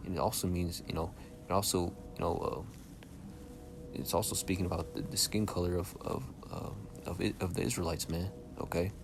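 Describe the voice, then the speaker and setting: A soft-spoken young man teaching scripture casually, reading verses aloud and checking in with "all right?" and "okay?". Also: low voice, fairly even intonation, brisk, creaky, background noise. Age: young adult. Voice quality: soft-spoken by his own account. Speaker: Bible teacher.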